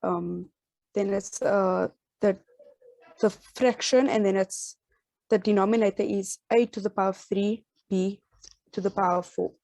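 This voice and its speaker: A woman student talking through her maths working, hesitant and halting. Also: mid-range voice, steady tone, vocal fry, mellow, no echo.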